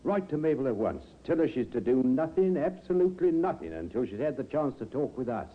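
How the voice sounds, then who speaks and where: A suspicious, commanding father played by a man in an old radio drama. He blusters with very animated pitch. The recording is thin and tinny. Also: brisk, heavy background noise, lightly acted. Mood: gruff, suspicious. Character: gruff, suspicious middle-aged father. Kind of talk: 1950s radio acting.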